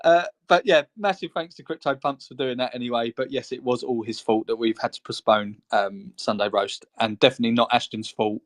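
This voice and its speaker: A man's voice: mid-range voice, fairly even intonation, brisk, hardly any pauses. A man co-hosting a podcast in casual chat, talking in a rambling, chatty way and laughing between phrases. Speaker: co-host.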